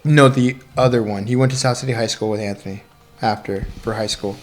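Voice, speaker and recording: An American man in relaxed, slangy conversation, swearing as he reminisces with friends. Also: lowish voice, brisk. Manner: slangy, profane. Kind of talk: casual chat, reminiscing with friends.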